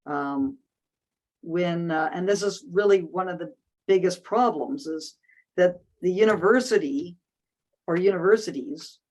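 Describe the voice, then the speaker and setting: A woman's voice: fairly low pitch, measured pace, a little mellow. A woman hosting a casual discussion, speaking thoughtfully with frequent restarts and fillers. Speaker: host.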